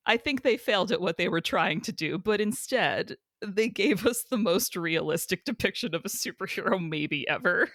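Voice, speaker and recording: A woman's voice: medium pitch, a bit bright, no room sound. A woman co-hosts a casual podcast with dry, playful humor and a wry way of arguing a point. Her voice sits in a middle register. The sound is close and dry. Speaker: podcast co-host. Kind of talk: casual chat.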